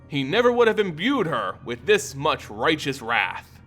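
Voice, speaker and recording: A man giving a dramatic, theatrical scripted narration of a fantasy story, with deliberate emphasis. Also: on the high side, very animated, sharp tone. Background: music.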